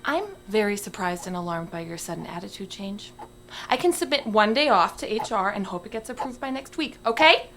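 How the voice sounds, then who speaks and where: A woman's voice: medium pitch, lively intonation, brisk, a bit bright, soft background music. An actress playing an assured, blunt working woman in a stage drama. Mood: cold, stern. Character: assured woman, office manager and bar co-worker. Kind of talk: stage play acting.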